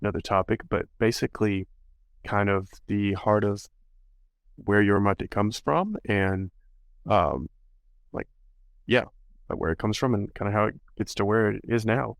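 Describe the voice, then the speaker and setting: A man's voice: fairly low pitch, moderate pace, vocal fry, long pauses, no room sound. A male podcast host chatting casually and rambling, with many fillers. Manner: rambling, full of "you know" and "like". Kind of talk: casual interview chat.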